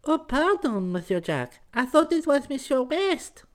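A man acts out a sweet young Frenchwoman from a storybook. The voice is pitched very high, bashful and gushing with shy affection. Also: big pitch swings, measured pace, exaggerated voice. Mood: shy, surprised.

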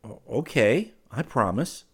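A man voicing an eager, polite, naive young gentleman abroad, earnest and courteous, in an audiobook story. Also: mid-range voice, sing-song, normal speed, pitched above the performer's own voice. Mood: puzzled, eager. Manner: courteous, earnest, stammering when flustered.

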